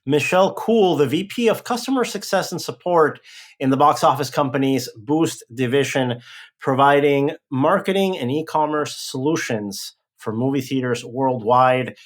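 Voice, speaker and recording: A man from Mexico hosting a podcast, brisk and upbeat as he moves between chat and an interview. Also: medium pitch. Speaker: podcast host.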